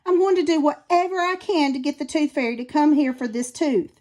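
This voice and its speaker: A woman's voice: high voice, fairly even intonation, brisk, a little mellow, pitched above the performer's own voice, close to an everyday voice. A woman voicing a caring, determined mother shark in a children's storybook, with a soothing, reassuring manner.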